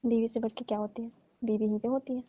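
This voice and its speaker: A woman with a fairly high yet very mellow voice talks on the phone in a detached, dismissive way. Her delivery is casual, and the call recording is muffled and lo-fi. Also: flat delivery, fast, dry recording. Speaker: call partner breaking off a relationship.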